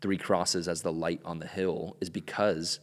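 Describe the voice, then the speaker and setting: Deep-voiced man pastor, joking and loose. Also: a little slow, long reverb tail. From Ecuador. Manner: relaxed, joking, many filler likes. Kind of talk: casual podcast chat.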